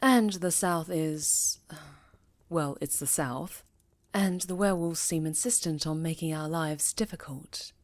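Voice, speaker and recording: A woman playing a stern, commanding witch who leads a coven, speaking formally and with measured authority in an ASMR fantasy roleplay. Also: low-pitched. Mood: grave, measured. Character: stern, commanding female high enchantress leading a witch coven.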